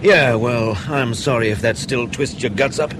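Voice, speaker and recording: A man playing a composed, evasive intelligence officer in a radio drama, speaking in a dry, understated, unhurried way. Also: mid-range voice, background noise, lightly acted. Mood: businesslike. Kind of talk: naturalistic radio drama dialogue.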